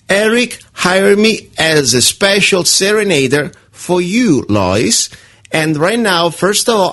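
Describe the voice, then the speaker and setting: Italian-accented man with a husky voice. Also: a little high, a bit bright. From Italy. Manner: halting, friendly, lots of fillers. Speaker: radio host. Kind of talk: radio show, phone call.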